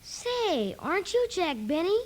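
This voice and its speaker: A woman's voice: very high-pitched, sing-song, unhurried, full character voice. A woman playing a polite, earnest little boy in a 1950s radio comedy. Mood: eager, polite.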